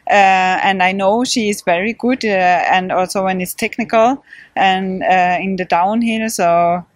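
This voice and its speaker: A woman's voice: medium pitch, steady tone, a little slow, dry recording. A young woman with a Swedish accent, talking casually with many hesitations and light laughs in an interview. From Sweden. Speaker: interviewed trail runner. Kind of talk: casual post-race interview.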